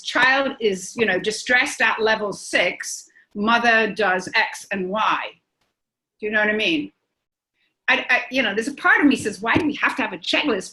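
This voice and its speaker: A woman with a bright, forceful voice talks through her ideas at a pediatric workshop. She thinks out loud, throwing in question after question. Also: medium pitch, low-quality recording. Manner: thinking aloud with frequent rhetorical questions.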